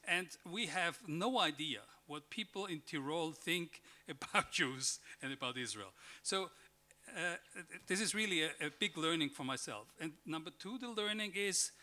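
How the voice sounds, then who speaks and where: A man addresses a conference panel in a somewhat high, bright voice. He hesitates a lot, filling gaps with "uh" and "like" and restarting his sentences. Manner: hesitant, many fillers and restarts. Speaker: panelist, member of parliament.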